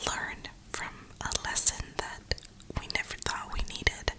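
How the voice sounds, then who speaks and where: A woman whispering a reflective, rambling ASMR monologue in a breathy, unhurried way, with drawn-out pauses. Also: sharp tone. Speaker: ASMR video creator.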